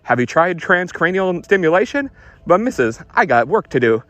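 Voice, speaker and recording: A man's voice: high voice, sing-song, moderate pace, a bit bright, dry recording, voice pushed up. A man playing a hard-boiled 1940s private detective in a radio noir parody, wisecracking in clipped old-time gumshoe slang. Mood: wry, brisk.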